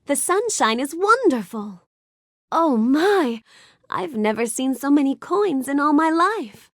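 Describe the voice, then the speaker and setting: A woman's voice: sits high, very animated, slow pace, dry recording, studio quality, full character voice. A woman voicing a gracious, bookish young storybook heroine in a video game, speaking politely and expressively with careful enunciation. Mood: delighted wonder. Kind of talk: scripted video game character lines.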